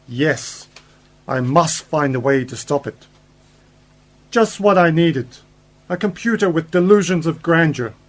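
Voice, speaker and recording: A man's voice: fairly high pitch, lively intonation, measured pace, long pauses. A man acting a commanding, principled uncle and scientist hero in a science fiction radio play, explanatory and resolute.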